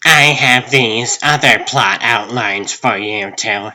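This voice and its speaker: Man acting a sharp-toned, harried assistant. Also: medium pitch, slow, slight background noise, voice pushed up. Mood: skeptical. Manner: questioning, pointed. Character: harried, skeptical assistant to a TV producer. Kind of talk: comic book dub voice acting.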